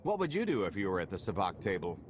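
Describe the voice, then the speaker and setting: A man's voice: low voice, huge pitch swings, quick pace, slight background noise. A man playing a cocky, roguish smuggler in a video game, with wry, glib banter. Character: cocky roguish male smuggler pitching a heist. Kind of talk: cinematic video game voice acting. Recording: music behind.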